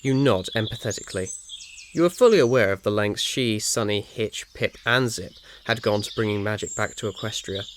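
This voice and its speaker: A man with a fairly low voice narrates a scripted story in the second person, made for ASMR listening. Faint noise of birds and crickets runs behind his reading. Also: brisk, fairly bright tone.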